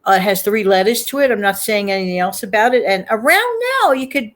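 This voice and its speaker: A woman's voice: medium pitch, lively intonation, quick pace, a bit bright. Woman, show host, casual livestream chat, chatty, emphatic, mid-range voice.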